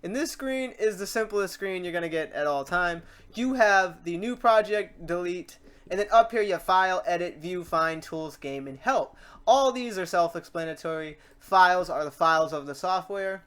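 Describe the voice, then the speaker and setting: High-voiced man, chatty rambling tutorial host. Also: moderate pace, a bit bright, clean tone. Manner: informal, chatty and rambling, stumbles and repeats words. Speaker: host of a software tutorial series. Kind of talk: casual screen-recorded software tutorial, talking off the cuff. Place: voice-over to a screen recording.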